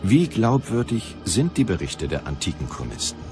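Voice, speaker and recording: A male documentary narrator with a very low voice. He reads scripted lines in a measured, deliberate way while music plays clearly behind him. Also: very animated.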